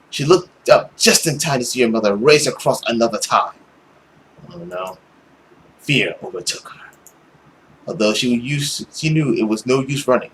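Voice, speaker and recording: A young man reading a visual novel aloud as a gaming host, expressive and theatrical, recorded at home. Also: medium pitch, a little hiss or hum, poor audio. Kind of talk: dramatic read-aloud narration with reactions.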